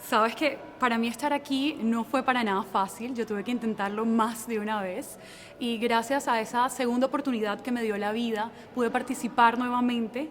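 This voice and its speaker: Young woman, Colombian accent, high-pitched, very quick delivery, earnest, articulate, interview answers. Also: fairly even intonation. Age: young adult. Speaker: beauty pageant contestant being interviewed.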